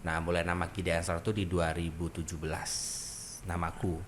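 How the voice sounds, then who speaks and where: A young adult man, a dance group founder and trainer, chatting on a podcast in an animated way. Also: sits low, moderate pace, slight background noise. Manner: animated, sings melodies and acts out quoted voices. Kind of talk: casual podcast conversation.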